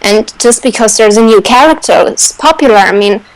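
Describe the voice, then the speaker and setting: Female panelist, higher register, conversational and emphatic, informal fan chat. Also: animated, normal speed, dry recording, rough recording. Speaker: radio show panelist. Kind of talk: casual fan discussion.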